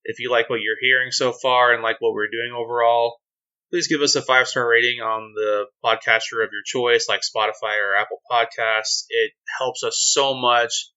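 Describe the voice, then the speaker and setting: A man's voice: mid-range voice, steady tone, moderate pace, sharp tone, clean tone, highs cut off. A man hosting a podcast, explaining tabletop gaming in casual chat with an encouraging, agreeable manner. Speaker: podcast host.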